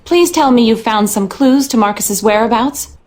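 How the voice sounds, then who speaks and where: Higher-voiced, worried manor lady, acted by a woman. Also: normal speed, darkish tone, close to an everyday voice. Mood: exasperated, worried. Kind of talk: video game dialogue.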